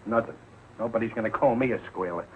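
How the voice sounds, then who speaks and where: A man's voice: fairly low pitch, fast, lightly acted. A man playing a bumbling, evasive working-class husband and father in a 1940s television comedy, blustering and sheepish, with sloppy grammar. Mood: defensive. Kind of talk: 1940s television sitcom acting. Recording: muffled, noisy old 1940s TV soundtrack.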